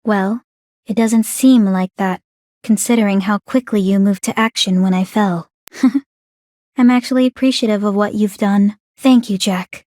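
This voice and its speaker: An actress voicing a calm, clinically curious young woman in a video game, speaking in a formal, measured, coolly teasing way. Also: medium pitch, no echo, studio quality, lightly acted. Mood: composed, appreciative. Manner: composed, measured, formal, inquisitive. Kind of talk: scripted video game character voice lines.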